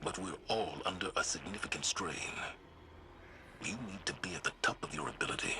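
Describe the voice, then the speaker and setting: Deep-voiced, weary military commander. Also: male voice. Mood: firm concern. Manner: measured, authoritative. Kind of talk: dramatic video game acting. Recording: clear ambient noise behind.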